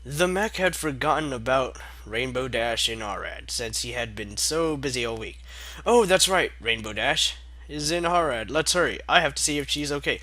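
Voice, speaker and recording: Bright, animated young man reading fanfic aloud. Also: mid-range voice, normal speed, no room sound. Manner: sarcastic asides, mock-exasperated. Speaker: fanfic reader. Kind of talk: comedic dramatic reading.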